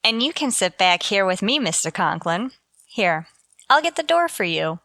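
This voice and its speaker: A woman playing a wry, lovestruck high school teacher in an old-time radio comedy, with a dry, sly manner. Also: very animated, moderate pace, bright, forceful tone, no room sound. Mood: polite, helpful. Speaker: lead actor and narrator. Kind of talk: old-time radio comedy acting, first-person narration.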